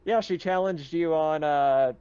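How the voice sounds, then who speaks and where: A man's voice: a little high, measured pace, mellow, clean tone, highs cut off. A man chatting casually as game master of a tabletop roleplaying session, with a jokey manner and frequent chuckles.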